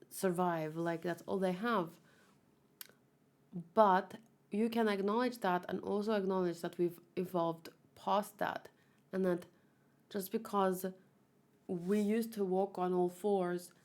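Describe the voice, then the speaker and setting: A woman's voice: fairly low pitch, a little mellow. A woman giving informal commentary as a read-along host, thinking aloud, arguing her points and acting out imagined replies.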